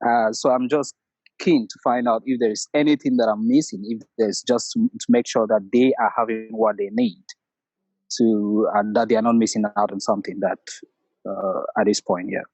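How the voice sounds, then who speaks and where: Man, Cameroonian accent, middle-aged, caller, husky voice, dry sound, halting speech. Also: on the low side, fairly even intonation, darkish tone, no echo, low-quality recording. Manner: halting, with many restarts. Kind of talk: personal story on a call-in show.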